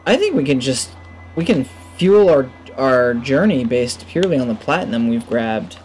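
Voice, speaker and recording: A man's voice: mid-range voice, sing-song, moderate pace, darkish tone, soft background music. A man in his thirties giving casual gaming commentary into a headset, musing to himself as he plays. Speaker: gaming video host. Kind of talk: casual let's play commentary, reading game text aloud.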